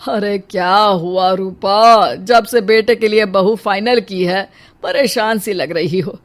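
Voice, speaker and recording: A woman voicing a calm, reasoning husband. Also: mid-range voice, slow, slight background noise, natural voice. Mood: reassuring. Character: calm, reassuring middle-aged husband and father.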